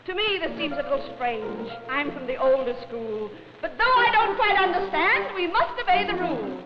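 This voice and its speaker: A woman's voice: sits high, big pitch swings, normal speed, muffled recording, music in the background. A woman playing a prim, puzzled old-school lady reciting comic verse in a 1940s stage revue, on a vintage soundtrack.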